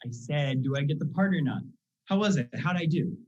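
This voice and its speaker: A teen performer plays a manic wannabe actor with wildly swinging pitch. The delivery is theatrical, excitable and pushy, as in a stage comedy. The recording sounds slightly dull and muffled. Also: a little fast, close to an everyday voice. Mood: pushy, eager. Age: teenager. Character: manic, unpredictable aspiring actor posing as crazed gunman. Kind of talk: school one-act comedy stage acting.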